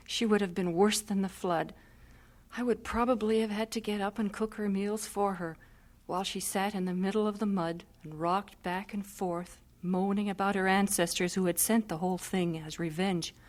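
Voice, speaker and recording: Actress as devout missionary wife, resolute, wry, medium-pitched voice, vintage radio drama. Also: female voice, fairly even intonation, normal speed, a little mellow, close to an everyday voice. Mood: wry, subdued. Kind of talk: old-time radio drama acting.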